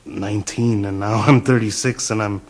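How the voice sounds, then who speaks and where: A Canadian man in his thirties, a reality show contestant, talking haltingly with chuckles in a confessional interview. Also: on the low side, steady tone, a little mellow. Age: 30s. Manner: chuckling, halting, self-deprecating.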